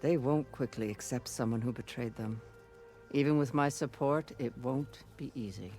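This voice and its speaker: Old grandma chaplain of a warrior tribe, solemn n measured, video game drama, faint music under it. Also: female voice, a bass voice, dark tone. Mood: grave, measured. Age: older. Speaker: game character actor. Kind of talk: dramatic game cutscene acting. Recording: music behind the voice.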